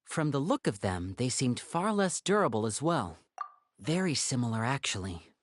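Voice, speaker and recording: An actor playing a poised, clever young male investigator in a video game, speaking in a smooth, deliberate, musing way. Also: mid-range voice, big pitch swings. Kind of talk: scripted video game voice acting.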